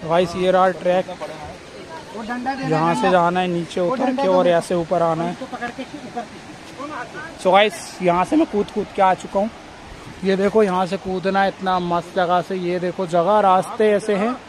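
A man, giving casual, excited vlog commentary and calling out to friends, recorded on a phone at arm's length outdoors. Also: sits high. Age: 20s. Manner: excited, informal, calling out to companions. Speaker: vlogger. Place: outdoors on forested rocky trail near waterfalls. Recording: noisy outdoor phone audio with rushing water.

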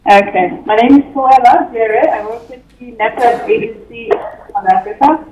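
A woman's voice: fairly high pitch, moderate pace. A woman delegate introducing herself to a meeting over a remote connection. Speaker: delegate from an African agency. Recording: echoey, dull, noisy remote line.

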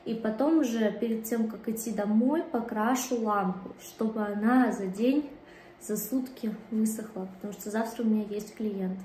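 Young female beauty vlogger, fairly high voice, informal and chatty, filmed on a phone indoors with some room noise. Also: moderate pace, warm tone. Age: 20s. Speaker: manicurist vlogger. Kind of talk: casual chatty vlog. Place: small indoor kitchen and studio rooms. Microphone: phone at arm's length. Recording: clear background room noise.

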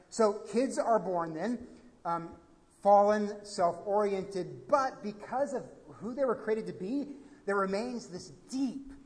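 A man in his thirties with an American accent, preaching a sermon from the pulpit and moving between quiet, reflective passages and emphatic appeals. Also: high-pitched. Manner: animated, gesturing, swings from quiet to emphatic. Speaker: pastor. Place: church sanctuary at a pulpit. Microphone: pulpit microphone. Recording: reverberant church hall.

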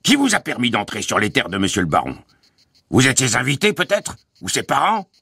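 A man voicing a huge, officious, bullying guard in a storybook reading, with a gravelly, shouting, menacing delivery. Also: mid-range voice, sing-song, normal speed, dry recording, clean studio sound, voice pushed up, heavily acted. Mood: bellowing outrage. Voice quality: raspy, gravelly.

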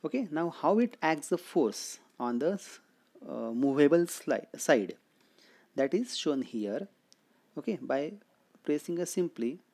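A male teacher with an Indian accent explains his material in a didactic way. His voice has a dark, soft-edged tone and his pitch moves up and down in a lively way. He often poses a question and then answers it. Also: unhurried. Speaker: physics teacher. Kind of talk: explanatory lecture.

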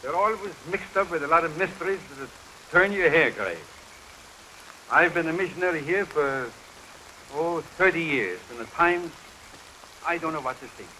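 An actor playing a kindly, fussy old missionary doctor in an early 1930s film, hesitant, rambling and skeptical. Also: male voice, fairly high pitch, animated, normal speed, long pauses, pushed and bright, poor audio. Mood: musing. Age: older. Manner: rambling, hesitant, punctuated with stammers. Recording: hissy 1932 film soundtrack, rain behind.

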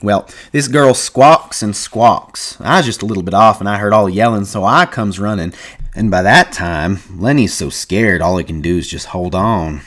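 Man reading as gruff ranch hand, anxious, rural drawl, lowish voice. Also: normal speed, a bit bright. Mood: worried. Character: young adult male ranch hand, anxious and protective.

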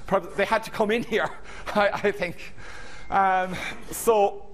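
A middle-aged man with a Cork Irish accent talks through his slides as a lecturer. His voice sits a little high for a man, and he thinks aloud, often restarting his phrases. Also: fairly even intonation, slow pace, slight background noise. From Ireland. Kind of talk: narrated slide presentation.

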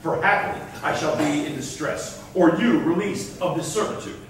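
An actor playing a resolute governor, giving orders in declaimed stage verse. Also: male voice, on the high side, moderate pace, close to an everyday voice. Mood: resolute, commanding. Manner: commanding, declamatory. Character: commanding, resolute male governor addressing countrymen. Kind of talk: staged reading of Elizabethan verse drama. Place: large indoor theatre stage. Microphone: far camera. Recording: distant echoey theatre stage, faint noise.

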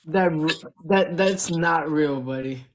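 Boastful young man, high voice. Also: slow pace, background noise. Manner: boastful, teasing, shouting out gifters. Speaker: livestream host. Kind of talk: casual livestream banter. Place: indoor room. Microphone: phone at arm's length.

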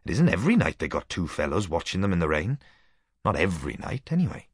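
A man's voice: sits low, sing-song, fast, husky, no room sound, clean studio sound, exaggerated voice. A man voicing a cheeky, grumbling young Welsh man in a storybook reading. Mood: petulant complaint. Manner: grumbling, complaining.

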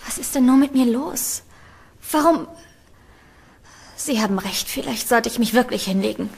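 Woman acting a high-voiced, anxious young student. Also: normal speed, gravelly, a little mellow, husky. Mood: pleading. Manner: anxious, pleading, hesitant. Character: frightened, earnest young female student. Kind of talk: audio horror drama acting.

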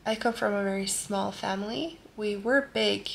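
Gravelly-voiced Norwegian woman talking from bed. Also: medium pitch, normal speed, a little hiss or hum. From Norway. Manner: calm and gentle, lying in bed while talking. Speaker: vlogger answering viewer questions. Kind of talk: soft-spoken casual Q&A. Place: bedroom, lying in bed indoors. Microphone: camera close at face level.

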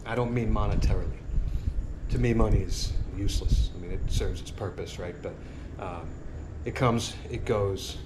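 A man's voice: fairly low pitch, normal speed. A middle-aged man talking to camera on an outdoor porch by a marina, earnest and rambling as he muses on life and urges viewers to stop worrying. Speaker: sailing vlogger. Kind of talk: casual reflective monologue. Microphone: action camera on a tripod a few feet away. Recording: outdoor ambience noise. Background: outdoor ambience.

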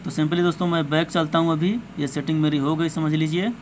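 Higher-voiced man giving phone tips over noise. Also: level tone, fairly quick. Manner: repetitive, hesitant, addresses viewers as friends. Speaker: tech tutorial host. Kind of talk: informal step-by-step phone tutorial narration. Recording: clear background noise, amateur recording.

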